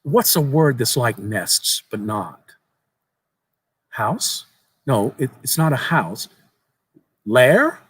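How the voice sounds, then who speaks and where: A man voicing a precocious, solemn little boy who explains things slowly and deliberately in a dramatic horror story reading. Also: medium pitch, sing-song, a bit bright, slightly rough, dry recording, heavily acted. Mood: solemn, thoughtful.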